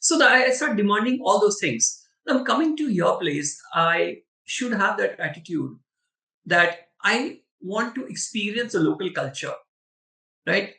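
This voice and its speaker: A man with an Indian accent and a bright, fairly high voice answers questions on a webinar. He speaks emphatically and conversationally, like a storyteller. The recording is roomy and echoing. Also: very animated, a little slow, gravelly. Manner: emphatic, storytelling with rhetorical check-ins. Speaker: webinar panelist. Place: online video webinar. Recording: roomy echo, distant from the mic.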